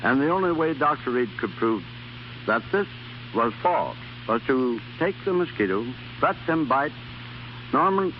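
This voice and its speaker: A man's voice: mid-range voice, big pitch swings, unhurried. Earnest man on a dull vintage radio recording. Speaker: interview guest, former army private. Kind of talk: scripted 1930s radio interview. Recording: dull, noisy, humming 1930s radio recording.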